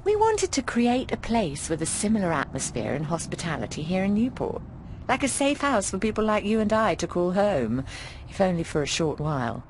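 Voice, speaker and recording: A woman's voice: medium pitch, big pitch swings, moderate pace, a little hiss or hum, natural voice, low-quality recording. An actress playing an affectionate, frank, middle-aged British boarding-house landlady in a video game. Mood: musing, proud. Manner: affectionate, frank, cheeky, often calls people darling.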